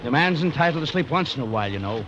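An actor playing a principled, proper gentleman who speaks in protest, in an old-time radio drama on a dull old recording. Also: fairly high pitch, lively intonation, rapid-fire, noisy background, lightly acted. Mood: objecting.

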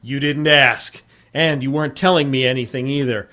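An actor playing a tough, dogged reporter in an old radio drama, terse and insistent in narration and dialogue. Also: male voice, medium pitch, fairly even intonation, normal speed, bright, forceful tone, close to an everyday voice. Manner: terse, hard-boiled, insistent. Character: tough, dogged male newspaper reporter O'Hara.

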